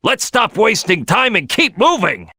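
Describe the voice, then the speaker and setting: Gruff, brash cartoon crocodile boss, wildly animated. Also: male voice, high voice, unhurried, no echo, studio quality, exaggerated voice. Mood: fired up. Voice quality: gruff, gravelly. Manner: loud, boisterous, bossy. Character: big brash male crocodile detective, gruff team leader. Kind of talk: video game character acting.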